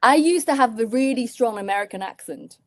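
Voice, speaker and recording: A woman's voice: on the high side, expressive, brisk, no echo, studio quality. A woman in her thirties from Taiwan, a native Mandarin speaker with a British English accent, talking as a guest in an interview with many restarts and fillers. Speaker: surgeon, guest. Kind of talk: interview conversation.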